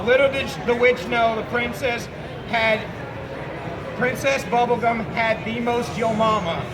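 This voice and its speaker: Male comic storyteller, very high-pitched, theatrical and halting, working a live audience in a loud, bustling space. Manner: theatrical, halting, heckles own story with asides. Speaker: storyteller leading an audience word game. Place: busy public venue with a crowd. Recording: noisy crowded public space, loud background bustle.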